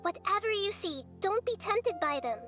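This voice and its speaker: A woman playing a gentle, wise childlike girl deity in a video game, speaking in a measured, articulate, thoughtful way. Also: extremely high pitch, expressive, soft background music, heavily acted. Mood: serious, cautioning.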